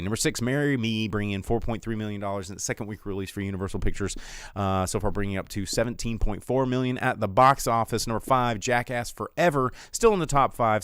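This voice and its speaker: A man's voice: fairly low pitch, smooth, dry recording, clean studio sound. An American man hosting a casual solo show, giving irreverent, sardonic, rambling commentary and reading out rankings. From United States. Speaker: movie podcast host.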